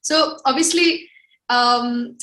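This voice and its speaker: A woman's voice: high voice, reverberant, low-quality recording. A woman, a musician guest answering casually and chiming in. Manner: quick, expressive, chiming in.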